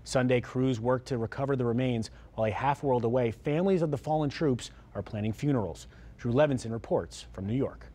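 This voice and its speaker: A man's voice: medium pitch, brisk, dark tone. A man with an American accent reading scripted news as an anchor.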